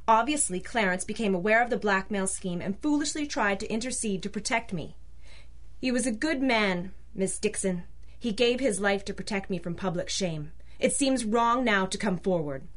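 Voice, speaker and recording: An actress playing a cold, wealthy widow with a formal, imperious, clipped manner in an old-style radio drama. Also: female voice, medium pitch, expressive, moderate pace, lightly acted. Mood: grave.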